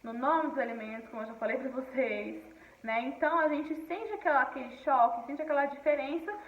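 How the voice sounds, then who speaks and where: High-voiced teacher in an echoey room. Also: female voice, fairly quick, distant mic, lo-fi sound. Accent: Brasília Portuguese. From Paraíba, Northeast Brazil. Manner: explanatory, often checks in with "tá bom?". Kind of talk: recorded class lesson reading from a textbook.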